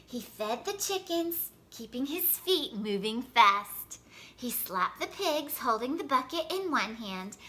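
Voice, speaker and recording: A woman reads a children's story aloud with expressive, animated narration. Her voice is high-pitched and bright, and she takes her time with a slow, clear pace.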